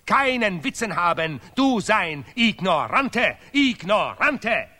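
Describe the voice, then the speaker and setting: An actor playing a vain, touchy Nobel laureate artist in a stylized radio play, declaiming emphatically in deliberately broken, repetitive German. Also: male voice, high voice, very animated, sharp tone, exaggerated voice. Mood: scornful. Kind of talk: stylized avant-garde radio play acting.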